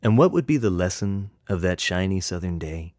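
A man reading a picture book in rhyming verse as its author, with expressive, rhythmic delivery. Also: sits low, normal speed, dry recording, studio quality.

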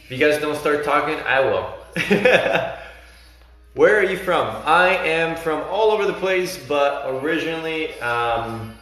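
A man's voice: fairly high pitch, expressive, measured pace, pushed and bright. A man in his thirties from Argentina, a life coach chatting earnestly with viewers on a phone livestream from his living room. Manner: earnest, encouraging, reads out viewer comments. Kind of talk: casual livestream chat with viewers. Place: bright living room indoors. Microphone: phone at arm's length. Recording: very echoey room with faint music.

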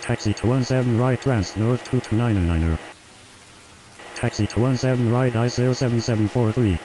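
A man's voice: mid-range voice, normal speed. A man speaking clipped, scripted air traffic radio phraseology.

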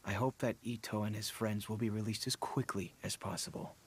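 A man's voice: fairly low pitch, steady tone, fairly quick, husky. An actor voicing a polite, grateful father in a video game, speaking in a mild, courteous way. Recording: faint hiss and noise.

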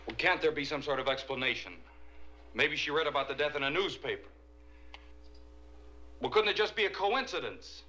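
An actor playing an agitated, skeptical husband who demands a rational explanation in a screen drama. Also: male voice, on the high side, lively intonation, moderate pace, pauses often, pushed and bright. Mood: agitated, incredulous. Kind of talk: dramatic film or television acting. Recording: dull soundtrack with faint music.

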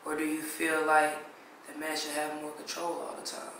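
A young man hosting a blind date, talking in an energetic, slang-heavy way. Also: fairly high pitch, normal speed, a little hiss or hum. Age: young adult. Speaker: host. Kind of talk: casual chat. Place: living room indoors. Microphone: far camera. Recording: echoey room from far off.

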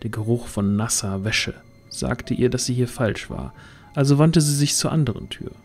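A man's voice: mid-range voice, normal speed, a little mellow, soft background music. A man narrating a scripted fantasy audio drama.

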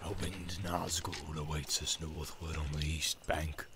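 A man voicing a sly, evil orc who speaks softly with an insinuating sneer in a fantasy audiobook. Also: rumbling low, little pitch movement, unhurried, voice pushed down, heavily acted. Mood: sly, menacing. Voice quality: soft, hissing, gravelly.

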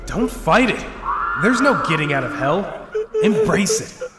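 An actor playing a bleak, sardonic survivor who has given up on living, in a sci-fi audio drama. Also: male voice, high voice, huge pitch swings, a little slow, very noisy, full character voice. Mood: bitter, hopeless. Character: despairing, bitter male survivor resigned to death. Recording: echoey cavernous hall reverb.

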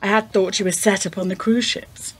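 A woman playing a wistful, distressed genteel lady with formal, measured phrasing in a radio play. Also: medium pitch, fairly even intonation, fairly bright tone, a little hiss or hum, lightly acted.

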